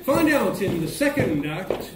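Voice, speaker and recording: A man's voice: high-pitched, big pitch swings, a little slow. A man giving scripted narration for an amateur stage pantomime. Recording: distant echoey hall with clear noise.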